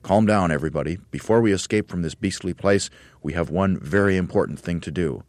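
A man's voice: low-pitched, a little fast, a little mellow, no echo, close to an everyday voice. A man voicing a male monkey father in a storybook, speaking earnestly and firmly. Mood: calm firm.

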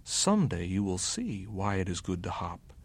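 A man doing a gentle, wise silver wolf. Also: deep voice, very animated, breathy edge, full character voice. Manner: slow, gentle, deliberate.

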